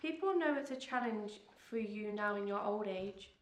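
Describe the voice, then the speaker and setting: A woman's voice: mid-range voice, animated, moderate pace, lightly acted. A woman playing an eager, persuasive daughter, younger than the others, in an audio drama. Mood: excited.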